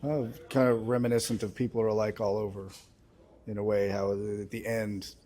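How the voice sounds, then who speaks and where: A man's voice: fairly low pitch, little pitch movement, normal speed, dark tone. A man interviewing a guest in a casual, conversational style.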